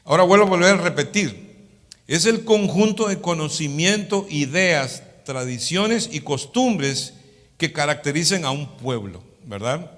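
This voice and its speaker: A man's voice: fairly high pitch, lively intonation, unhurried, fairly bright tone. Latin American male preacher in a reverberant hall. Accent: Latin American Spanish. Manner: didactic, frequently seeking agreement with short tag questions. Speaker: pastor. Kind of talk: sermon, teaching.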